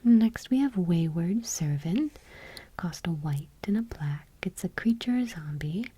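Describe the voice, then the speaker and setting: A woman host speaking in a soft, breathy whisper, gently and without hurry, reading text aloud in ASMR style. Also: on the low side, animated, measured pace, dry recording.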